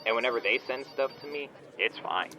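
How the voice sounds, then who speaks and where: A man's voice: mid-range voice, a little fast, bright, forceful tone, slight background noise, lightly acted. A man playing a smug, entitled online shop owner on a phone call in a comedy audio drama, whiny, condescending and petulant in casual slang. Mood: irritated, whiny. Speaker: caller, complaining customer.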